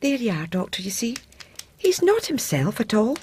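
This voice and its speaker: Bossy, fussing Scottish wife for period radio drama; animated, swooping delivery, husky edge. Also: female voice, fairly high pitch, moderate pace, full character voice. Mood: anxious, insistent. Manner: nagging, talks over others.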